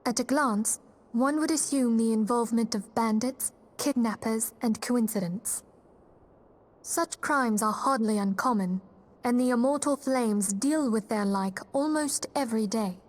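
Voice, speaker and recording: A fantasy game's leader of a secret order, a woman with a fairly high voice. She speaks formally and courteously, in measured phrases, with composed authority. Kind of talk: video game cutscene acting.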